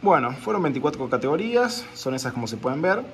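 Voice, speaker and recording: A man's voice: a little high, big pitch swings, fast. Young dude talking into his phone at home, offhand and chatty, slight hiss behind him. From Argentina. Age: young adult. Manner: chatty, offhand, repeating that he does not know the places. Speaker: food and travel vlogger. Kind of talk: casual reaction video. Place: indoors, small room at home. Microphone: phone camera at arm's length.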